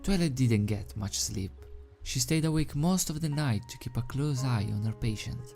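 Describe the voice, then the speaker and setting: Male amateur narrator, dark mellow tone, medium pitch, storybook reading, faint music behind. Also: animated, normal speed, creaky. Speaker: amateur fan fiction reader. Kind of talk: storybook narration of fan fiction. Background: soundtrack music.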